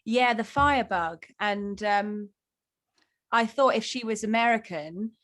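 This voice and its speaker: A woman's voice: medium pitch, a little slow, a bit bright. A woman chatting casually as an actor in an online rehearsal discussion. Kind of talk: casual chat. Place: indoors, video call.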